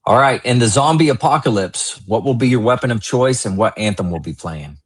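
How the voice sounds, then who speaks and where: Husky man hosting a casual interview. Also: mid-range voice, animated, fairly quick. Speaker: interview host.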